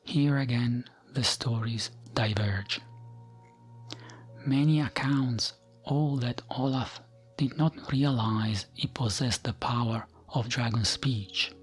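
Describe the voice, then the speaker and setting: Slow, hushed male ASMR storyteller. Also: mid-range voice, breathy edge, faint music behind. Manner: gentle, soothing, hushed, often slipping into whisper. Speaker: ASMR gaming narrator. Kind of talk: ASMR storytelling, scripted narration of fantasy lore.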